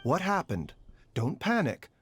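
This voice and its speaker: A man playing a courteous, composed doctor in a fantasy video game, gentle, refined and formal in speech. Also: a little high, huge pitch swings, unhurried, breathy edge, faint music behind, heavily acted. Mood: concerned.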